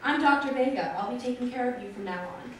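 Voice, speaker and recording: A woman's voice: on the high side, lively intonation, quick pace, natural voice. An actress in a staged reading playing a reassuring, upbeat woman doctor of a Martian colony. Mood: soothing, upbeat. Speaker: musical theatre actress. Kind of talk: staged reading, theatrical dialogue. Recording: echoey theatre, distant mic, faint noise.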